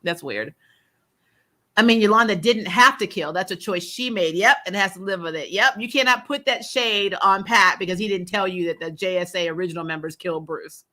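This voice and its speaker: A woman's voice: on the low side, fairly quick, sharp tone. A woman hosting a casual fan livestream, giving candid, emphatic opinions in slangy, chatty commentary. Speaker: host of a fan recap livestream.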